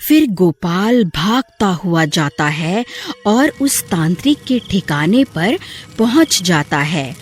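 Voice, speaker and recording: A woman narrating a scripted horror story. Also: mid-range voice.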